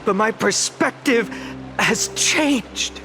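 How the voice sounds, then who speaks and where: An actor playing a solemn young video game hero, giving a measured, earnest speech to a grieving city. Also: male voice, sits high, animated, heavily acted.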